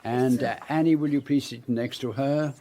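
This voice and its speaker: A middle-aged Belgian gentleman detective played by a male actor in a radio play. His French-tinged accent frames formal, precise sentences at a medium pitch, delivered with theatrical courtesy. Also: fairly even intonation, moderate pace, close to an everyday voice. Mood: courteous, commanding. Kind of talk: radio drama acting.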